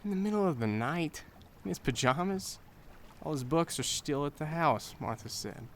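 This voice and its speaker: An insistent young son. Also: male voice, on the high side, lively intonation, moderate pace, a little hiss or hum. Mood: pressing. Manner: insistent, questioning. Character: curious young adult son, pressing questions. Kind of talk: radio drama acting.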